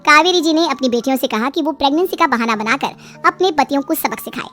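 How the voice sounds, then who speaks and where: A woman's voice: high-pitched, fast, a bit bright. A woman reading a scripted story as its narrator. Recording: music behind the voice.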